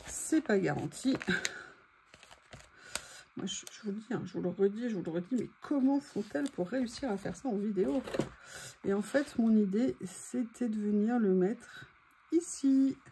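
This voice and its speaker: High-voiced woman thinking aloud, mellow tone. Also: unhurried, long pauses. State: voice giving out, throat clearing. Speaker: craft video host. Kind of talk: casual chat while crafting. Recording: faint background noise.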